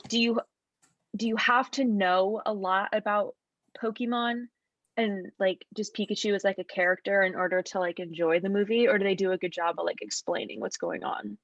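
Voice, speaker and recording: A woman's voice: medium pitch, fairly even intonation, moderate pace, dry recording, dull audio. A young woman co-hosting a podcast in casual chat, curious and asking questions. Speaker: podcast co-host.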